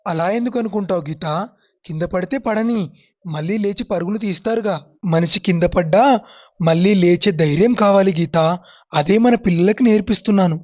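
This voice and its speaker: A woman voicing a gentle, encouraging father in an animated moral story, reassuring and affectionate, in a slightly muffled recording. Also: mid-range voice, brisk, natural voice. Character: gentle, encouraging father figure full of faith.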